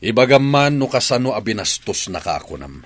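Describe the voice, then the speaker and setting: An actor with a Filipino Ilocano accent playing a stern, questioning father of the household in a radio drama, with a rough voice. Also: on the low side, big pitch swings, a little fast, no echo. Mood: questioning, annoyed.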